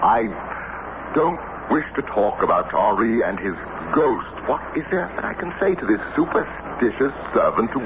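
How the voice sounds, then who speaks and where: An actor playing a stuffy, exasperated middle-aged American diplomat in an old-time radio drama, argumentative and indignant. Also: male voice, medium pitch, big pitch swings, normal speed. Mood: stuffy, irritable. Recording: dull, noisy vintage radio recording.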